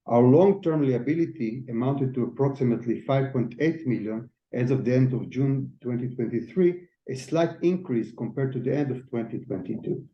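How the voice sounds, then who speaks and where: Male CFO reading prepared results formally; echoey room, somewhat muffled low-fidelity recording. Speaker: chief financial officer. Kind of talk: scripted financial presentation. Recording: echoey, slightly dull audio.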